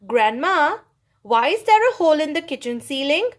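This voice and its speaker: A woman's voice: high-pitched, very animated, normal speed, voice pushed up. A woman voicing a curious young village boy in a children's story, asking questions.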